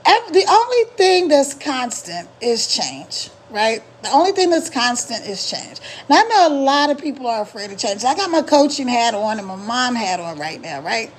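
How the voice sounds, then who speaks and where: A middle-aged woman, a little hoarse, chatting casually on a live stream as an online marketing coach, emphatic and repeating her key points. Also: on the high side, sing-song, moderate pace, hardly any pauses, slight background noise.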